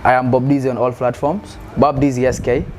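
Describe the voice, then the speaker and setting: A man from South Sudan talks earnestly about his music career, often stumbling over and repeating words as he looks for the right phrase. His voice sits at an ordinary middle pitch. A steady hum of background noise runs under the recording. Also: a little fast. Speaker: musician guest. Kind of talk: casual interview answers.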